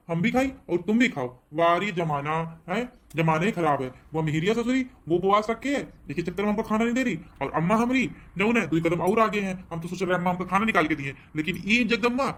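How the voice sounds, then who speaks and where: Fast-grumbling cartoon village husband, acted by a man. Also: sits high, a bit bright. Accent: Awadhi Hindi. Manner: grumbling, complaining. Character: whiny, hungry, put-upon village husband, cartoon. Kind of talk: comic cartoon dialogue.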